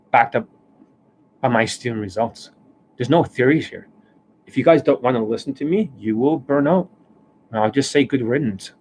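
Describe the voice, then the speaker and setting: A man hosting a live stream as a dating coach. He rants bluntly and swears often, and his voice is worn and hoarse from overuse, with coughs and throat clearing. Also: medium pitch, slight background noise. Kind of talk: livestream monologue. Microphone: desk microphone.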